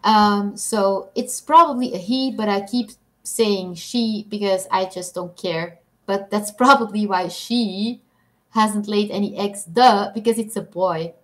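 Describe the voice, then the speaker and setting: A young woman with a Belgian Dutch accent, chatting unhurriedly in English on a casual live stream. She giggles easily and is quick to tease herself, her voice sitting at a middle pitch and moving along at a leisurely pace. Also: poor audio. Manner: chatty, giggly, self-deprecating. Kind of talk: casual live stream chat reading comments.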